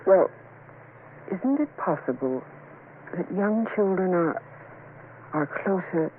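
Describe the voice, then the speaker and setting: A woman's voice: on the low side, animated, slow. An actress playing a frightened wife and mother in a 1970s radio suspense drama, with a pleading, dramatic delivery. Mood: uneasy. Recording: tinny, noisy old radio recording.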